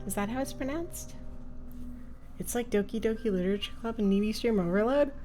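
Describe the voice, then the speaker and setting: A woman's voice: a little high, brisk, dark tone. Chatty, cutesy woman livestreamer over faint music. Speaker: livestreamer, VTuber host. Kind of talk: casual stream chat.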